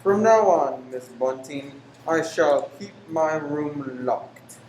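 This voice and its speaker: A man's voice: a little high, lively intonation, takes it slowly, darkish tone. A man acts a secretive, pious lodger in a radio play. He speaks formally and sternly, moralizing in antique phrases. The room is echoey, with a faint noise underneath. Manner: formal, archaic, preachy phrasing. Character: stern, pious, secretive male lodger preaching against pleasure.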